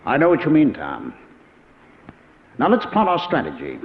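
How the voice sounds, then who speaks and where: An actor playing an authoritative, methodical professor in an old radio drama, measured and determined. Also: male voice, mid-range voice, huge pitch swings, slight background noise. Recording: dull, reverberant vintage radio recording.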